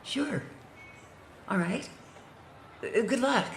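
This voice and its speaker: An actress playing a detective's polite, concerned office assistant in a radio mystery, speaking a little hesitantly. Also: female voice, a little high, animated, very slow, reverberant. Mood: polite, uneasy. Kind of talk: noir radio drama acting.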